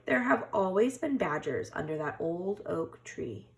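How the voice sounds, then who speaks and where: A woman's voice: on the low side, very animated, a little slow, vocal fry, a little hiss or hum, heavily acted. A woman voicing an anxious, eager little male hedgehog for a children's storybook. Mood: shocked. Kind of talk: children's storybook read-aloud.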